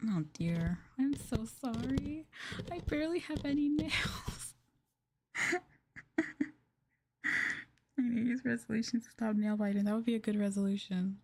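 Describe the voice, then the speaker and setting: A woman streaming ASMR, talking softly and hesitantly to a live chat, often slipping into a breathy whisper close to the mic. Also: on the high side, unhurried, pauses often. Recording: noisy, with clear hiss and clicks.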